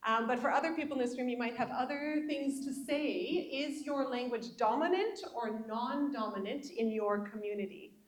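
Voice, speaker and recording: A woman's voice: on the high side, moderate pace, reverberant. A woman presenting an interactive session, putting questions to the audience. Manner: guiding, asks the room questions.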